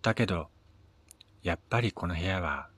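A man narrating a first-person short story in a measured, dramatic reading with pauses. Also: low voice, lively intonation, a bit bright, breathy edge, no room sound. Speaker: amateur reader of his own short stories.

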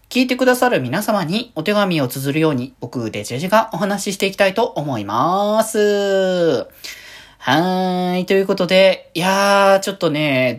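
A woman hosting a solo show in casual, diary-style chat, with an animated, rambling, giggly way of talking. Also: lowish voice. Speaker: solo podcast host and streamer.

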